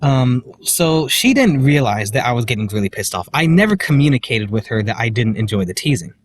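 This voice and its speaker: A young man vlogging outdoors into a handheld phone. He tells a personal story in a quiet voice, full of fillers and self-deprecating nervous laughs. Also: mid-range voice, expressive, brisk. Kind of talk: rambling personal story to camera. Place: outdoors, walking in public.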